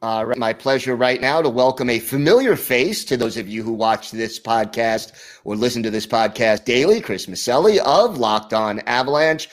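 A man's voice: medium pitch, moderate pace, hardly any pauses. Upbeat man hosting a sports podcast. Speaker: podcast host. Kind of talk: sports podcast chat and read ad.

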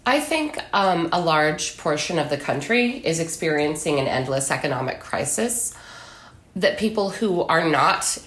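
A woman's voice: low-pitched, expressive, measured pace, a bit bright. A woman giving political commentary as a guest in a podcast interview. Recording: echoey room.

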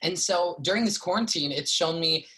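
A man's voice: fairly high pitch, normal speed, sharp tone. A young man in his twenties, a stage performer talking casually as an interview guest, chatty with many fillers. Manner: chatty, lots of likes and fillers.

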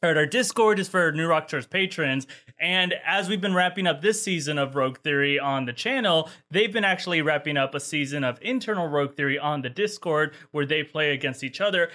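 Guy hosting a chatty show, high bright voice, jokey, rattles off plugs. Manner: playful, laughing, quick plugs. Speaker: show host. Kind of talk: casual group chat, hosting.

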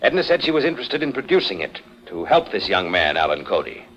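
An actor playing a bitter, self-assured widowed husband, speaking with formal insistence in a 1940s radio drama. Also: male voice, sits low, sing-song, fast, fairly bright tone, exaggerated voice. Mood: explaining, composed. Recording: muffled vintage 1940s radio recording.

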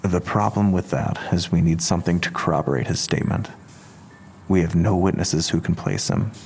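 A man playing a patient, persistent police detective in an audio drama, measured and earnest, with a gravelly voice. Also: low-pitched, darkish tone, slight background noise. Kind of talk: full-cast audio drama acting.

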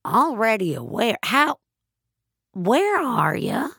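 A woman voicing an anxious, crotchety elderly woman in an audio story, halting and pleading. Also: a little high, huge pitch swings, creaky, a bit bright, breathy edge, no room sound, clean studio sound, pitched above the performer's own voice, heavily acted. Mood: indignant. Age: older. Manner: halting, pleading, old-fashioned.

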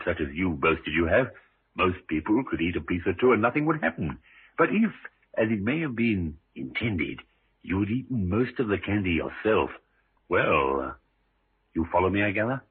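A grave, methodical country doctor, very deep and husky, explaining in measured, hesitant phrases that rise and fall widely. It is radio drama acting on a tinny old recording. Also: male voice, sing-song, heavily acted. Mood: grave, deliberate.

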